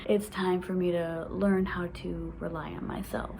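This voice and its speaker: Young woman vlogger in her twenties with a stutter, casual and excited, light room noise. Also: fairly low pitch, a little slow, gravelly. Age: 20s. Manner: stutters, with repeated sounds and restarts; excited, laughs often. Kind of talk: casual vlog chat.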